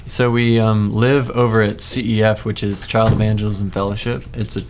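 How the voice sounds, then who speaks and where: Young guy, low-ish voice, relaxed and laughing a lot, bit of hiss in the background. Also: normal speed. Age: young adult. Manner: easygoing, laughs often, trailing fillers. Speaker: intern guest. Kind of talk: casual interview chat.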